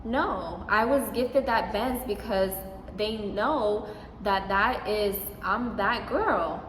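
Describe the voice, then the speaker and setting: A woman's voice: medium pitch, background noise. Sassy young woman in an echoey room. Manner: sassy, emphatic, talks straight to viewers. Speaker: vlogger giving advice. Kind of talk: casual Q&A vlog talk.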